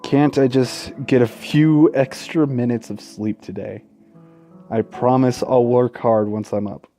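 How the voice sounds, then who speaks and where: A man's voice: medium pitch, steady tone, unhurried, warm tone. A man reading a visual novel aloud as a gaming host, tripping over words, correcting himself and dropping casual asides and swearing. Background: faint game music.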